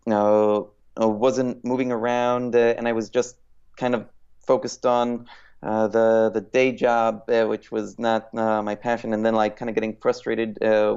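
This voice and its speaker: A man in his late thirties, a guest in an interview, talking in a reflective, halting way with many pauses. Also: mid-range voice, gravelly, a little mellow, clear, resonant tone, dull audio. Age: 30s. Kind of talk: casual interview chat.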